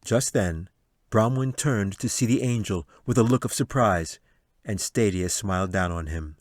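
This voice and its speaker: Low-voiced man narrating a fantasy tale. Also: normal speed, no echo, studio quality. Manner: dramatic, storytelling. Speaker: author reading his audiobook. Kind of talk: scripted storybook narration.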